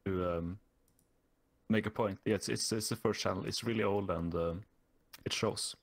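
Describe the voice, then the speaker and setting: Young Norwegian guy, fairly low voice, halting and casual, plenty of fillers, speaking into a desktop mic on a call. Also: steady tone, vocal fry. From Norway. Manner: hesitant, many fillers and restarts. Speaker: guest YouTuber. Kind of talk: casual interview chat.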